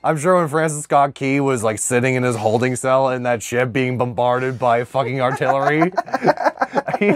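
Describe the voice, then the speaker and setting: A man's voice: medium pitch, moderate pace. A man co-hosting a podcast in casual comedic chat, riffing sardonically and swearing as he goes. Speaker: podcast co-host.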